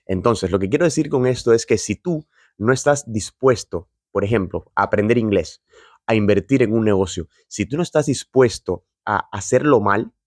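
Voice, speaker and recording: A man's voice: lowish voice, expressive, normal speed, dry recording, clean studio sound. A man giving an earnest, encouraging reflection straight to camera, admitting he is nervous about speaking on video. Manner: earnest and encouraging, addresses viewer directly. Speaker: motivational video host.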